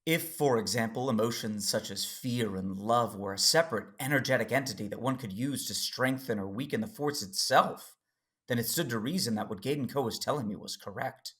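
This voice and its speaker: A man playing an earnest, worried Jedi father who tells his story in serious, deliberate audio drama narration. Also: medium pitch, a bit bright, lightly acted. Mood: reflective, serious. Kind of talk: first-person audio drama narration and dialogue.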